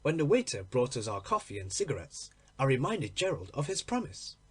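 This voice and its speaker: A man reading a literary story aloud in an expressive, measured, deliberate narrating style. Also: medium pitch, slightly rough. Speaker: audiobook reader.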